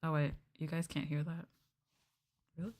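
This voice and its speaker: Girl streamer doing chill asmr chat, lowish voice, giggly and kinda unsure, up close on mic. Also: level tone, normal speed, a little mellow. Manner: playful, giggly, hesitant. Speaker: VTuber streamer. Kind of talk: casual ASMR livestream chat.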